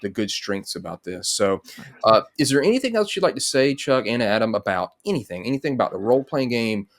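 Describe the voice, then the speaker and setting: A man's voice: mid-range voice, big pitch swings, fairly quick. A middle-aged man hosting a casual interview in a rambling, conversational way. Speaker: interview host. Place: home room with bookshelf, on video call.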